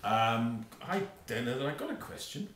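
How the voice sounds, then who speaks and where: Halting seventy-year-old Lancashire man. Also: mid-range voice, sing-song, a bit bright. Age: 70s. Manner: hesitant, halting with ums. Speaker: quiz team captain. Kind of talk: audition interview answers. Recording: echoey room, mic far off.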